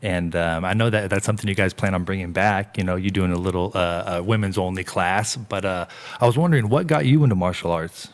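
Upbeat male interviewer with a lower voice, casual questions. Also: a little fast. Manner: upbeat, asks questions, laughs along. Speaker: interview host.

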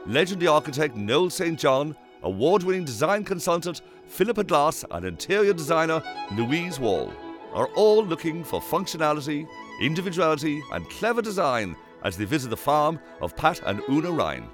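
A man's voice: on the high side, sing-song, normal speed, a bit bright. Guy doing grand property show narration, swoopy delivery, music underneath, spoof. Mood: grand, admiring. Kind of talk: scripted mock TV voice-over.

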